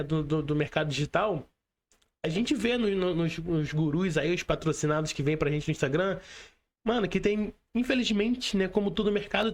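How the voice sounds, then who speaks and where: Carioca guy hosting a podcast, kinda high voice, gravelly edge, super chatty, slang and "né" everywhere. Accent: Carioca Brazilian Portuguese. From Rio de Janeiro, Brazil. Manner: slangy, chatty, many fillers like "né" and "mano". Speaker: podcast host. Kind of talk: casual interview chat. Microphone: remote call.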